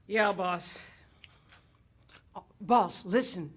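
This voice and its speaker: An actor playing a loyal, worried cafe pianist, folksy and coaxing, in 1940s radio drama style. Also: male voice, high-pitched, drawn-out words, a bit bright, full character voice. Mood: uneasy. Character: loyal, worried cafe piano player, a man. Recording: live stage radio play, prominent music.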